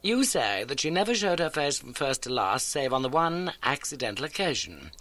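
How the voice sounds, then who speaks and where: Man acting gentleman detective, audiobook, high bright voice, brisk, precise, courteous, commanding. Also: sing-song, no echo. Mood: brisk, inquisitive. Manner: precise, formal questioning.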